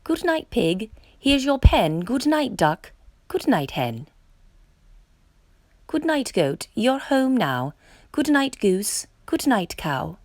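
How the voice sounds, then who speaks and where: A woman's voice: fairly high pitch, sing-song, slow, a little mellow, dry recording, clean studio sound. A woman voicing a friendly, welcoming little truck in a children's storybook, with sing-song reassurance. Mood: tender.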